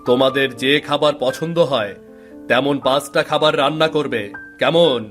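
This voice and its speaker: A man voicing a genial, eager middle-aged father with expressive delivery in an animated fairy tale. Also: mid-range voice, moderate pace, soft background music, lightly acted.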